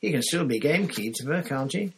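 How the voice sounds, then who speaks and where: A man's voice: medium pitch, lively intonation, measured pace, creaky, fairly bright tone, husky, voice pushed up, exaggerated voice. A man voicing a cool, disdainful teenage schoolgirl with a hard, dismissive manner.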